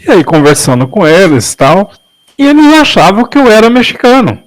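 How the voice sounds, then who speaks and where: A man telling a personal story in a casual study-group discussion on a video call, in a calm, measured way. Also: sits high, dry recording. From Brazil.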